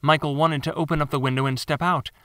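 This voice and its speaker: A man reading his own story as scripted dramatic narration, measured and theatrical. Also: a little high, steady tone, sharp tone, no room sound, studio quality. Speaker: author narrating own audiobook.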